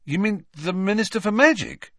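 A man's voice: sits high, expressive, normal speed, fairly bright tone, no echo, studio quality, pitched above the performer's own voice. A man voicing a blunt, earnest, plain-spoken teenage wizard boy in a storybook reading. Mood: baffled.

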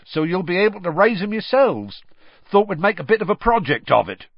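A man voicing a big, gruff, enthusiastic creature keeper and teacher with rough, folksy speech in an audiobook. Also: mid-range voice, sing-song, measured pace, no room sound, lo-fi sound, voice pushed up. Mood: proud. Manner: rough, folksy, dropped words.

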